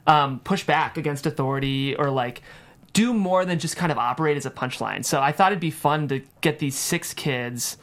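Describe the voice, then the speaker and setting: A man's voice: on the high side, moderate pace, fairly bright tone. A man speaking as a guest screenwriter in a casual interview, explaining things thoughtfully.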